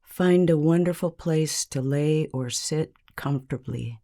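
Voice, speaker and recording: A woman narrating a scripted guided meditation in a calm, measured, soothing manner with long pauses. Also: low-pitched.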